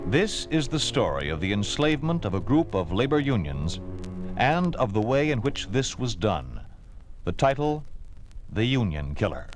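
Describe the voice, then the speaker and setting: An actor as the solemn, dramatic narrator of a 1950s radio drama. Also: male voice, lowish voice, sing-song, normal speed. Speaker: announcer. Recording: old 1950s radio broadcast. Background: music.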